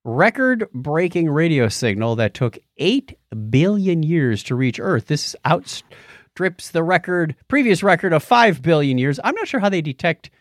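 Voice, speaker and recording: Man, high-pitched, lively, joking, conversational podcast host. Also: a little slow, dry recording, studio quality. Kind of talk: casual banter, reading birthdays and news.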